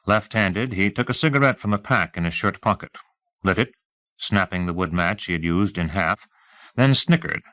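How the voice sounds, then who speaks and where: A man with a fairly low voice narrates an audiobook novel. His storytelling is measured and clearly articulated, recorded dry with little room sound. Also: expressive, sharp tone, no echo.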